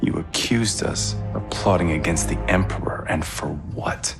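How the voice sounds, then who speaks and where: A vengeful crime lord in a video game. His voice is very deep and full of gravel, smug and deliberate in its menace. Ominous music runs beneath him. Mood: bitter menace. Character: bitter vengeful crime lord brother, smug, coldly sardonic. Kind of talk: cinematic game acting. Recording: dark music under the voice.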